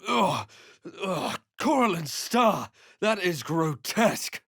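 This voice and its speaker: Higher-voiced, feisty adventurer. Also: male voice, sing-song, slow pace, vocal fry, fairly bright tone, husky, no room sound, studio quality, exaggerated voice. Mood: emphatic. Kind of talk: scripted game character lines.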